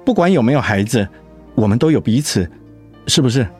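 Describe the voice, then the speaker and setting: An actor in a Mandarin radio drama playing a devoted, protective young husband who speaks in a tender, coaxing, sincere way. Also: male voice, medium pitch, animated, brisk, darkish tone, soft background music, lightly acted. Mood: tender, soothing.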